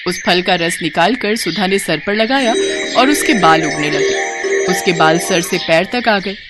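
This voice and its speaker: A woman's voice: lowish voice, animated, measured pace, over loud music. A woman reading a scripted children's story as the storyteller.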